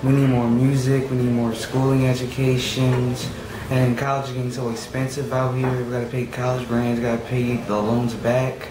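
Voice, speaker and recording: A man's voice: mid-range voice, completely flat delivery, moderate pace, crowd noise. A young man speaking earnestly to camera about his community, often repeating himself. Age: young adult. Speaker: youth program member. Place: small room against a plain wall. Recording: echoey room with background noise.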